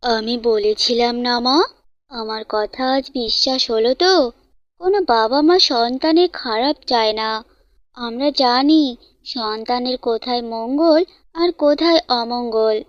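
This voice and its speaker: A woman's voice: a little high, takes it slowly, no room sound, lo-fi sound, voice pushed down. A woman voicing a protective father bird in a cartoon, earnest and admonishing. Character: stern but loving protective father bird.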